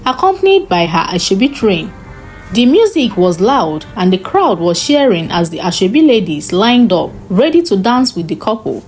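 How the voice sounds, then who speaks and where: Higher-pitched woman narrating a dramatic story. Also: darkish tone. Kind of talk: scripted dramatic folktale narration.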